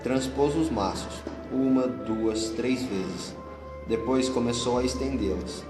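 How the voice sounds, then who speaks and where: A man's voice: mid-range voice, takes it slowly. A Brazilian man narrating a scripted radio drama. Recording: echoey hall, long reverb, music bed. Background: music.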